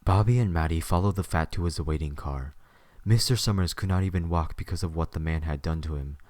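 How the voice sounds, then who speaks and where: A man's voice: low voice, brisk, a little mellow, dry recording, studio quality. A man narrating a scripted story for an audio drama.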